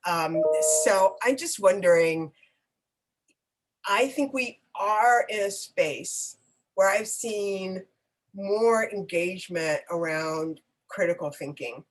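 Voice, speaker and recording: A woman interviewing a guest in a live online conversation, speaking haltingly with many pauses and restarts and warm encouragement, heard over a video call. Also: medium pitch, poor audio. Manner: effusive and encouraging. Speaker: interviewer for a nonprofit network.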